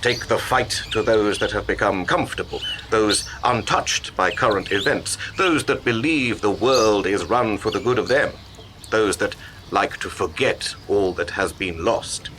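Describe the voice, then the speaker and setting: An actor in a radio play playing a secretive, cultured male promoter who speaks in a measured, erudite, persuasive way. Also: on the low side, fairly bright tone, a little hiss or hum. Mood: businesslike, coaxing.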